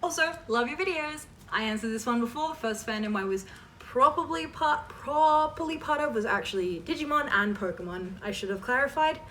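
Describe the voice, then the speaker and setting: A woman's voice: fairly high pitch, lively intonation, a little fast, fairly bright tone. A woman chatting casually as she answers questions, rambling and self-deprecating with trailing asides. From Canada. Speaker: host of a fan voice-acting channel. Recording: distant mic with faint background noise.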